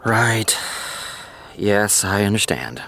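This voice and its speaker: A grown man with a low voice that swings widely in pitch. He is a sardonic, stubborn boss in an audio drama, dry and wordy, conceding things only grudgingly. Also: sing-song, slow, creaky, bright, forceful tone, faint music behind, heavily acted. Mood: dry, resigned. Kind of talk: naturalistic audio drama acting.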